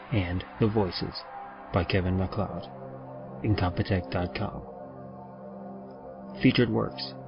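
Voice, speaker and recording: A man giving a measured, formal scripted read as a podcast announcer. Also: low voice. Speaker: podcast announcer reading credits. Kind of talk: scripted narration. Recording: slightly dull, music underneath.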